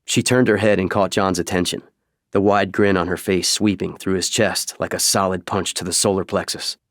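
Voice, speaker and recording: A man narrates an audiobook in a fairly low voice. The scripted fiction reading is recorded close and very dry. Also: steady tone, normal speed, no room sound.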